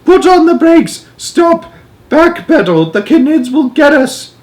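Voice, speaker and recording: Guy doing a screechy bossy old grandma, totally frantic, way up high, storybook read. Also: big pitch swings, slow pace, heavily acted. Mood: shrill panic. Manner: shrill, panicked, exclaiming.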